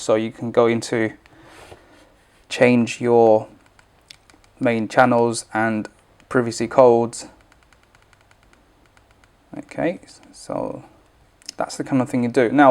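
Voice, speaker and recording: A man's voice: mid-range voice, darkish tone. A man presenting an informal product tutorial, explaining each step in a plain, instructional way.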